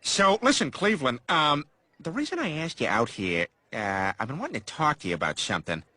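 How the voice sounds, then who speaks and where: A man voicing an awkward, over-earnest, hesitant figure in an animated sitcom. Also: fairly high pitch, fairly quick. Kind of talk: animated sitcom comedy acting.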